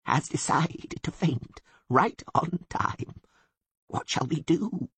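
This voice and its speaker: A man voicing an indignant, abusive goat-like sylvan devil in an audiobook, with a raspy bleat and emphatic, scolding delivery. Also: fairly high pitch, expressive, slow pace, a bit bright, no room sound, voice pushed up, heavily acted. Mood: hushed bleating. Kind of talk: audiobook character voice.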